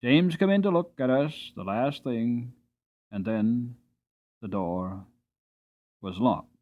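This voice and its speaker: A man reading a classic novel aloud in a dramatic, expressive storybook style, in a slightly dull recording. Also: medium pitch, slow. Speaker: audiobook reader.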